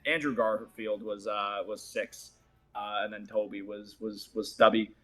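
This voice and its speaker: Casting: man, native Portuguese speaker, bright and forward tone, loose rambling delivery with fillers. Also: lowish voice, steady tone, a little slow, soft background music. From Boston area, USA. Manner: rambling, filler-heavy, laughs often. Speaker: actor, interview guest. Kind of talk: casual interview chat.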